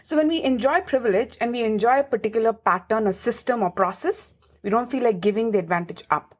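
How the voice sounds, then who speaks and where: Higher-voiced Indian woman explaining earnestly. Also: fast talker. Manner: earnest, emphatic, lively explaining. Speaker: guest, lawyer and advisor. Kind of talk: podcast interview.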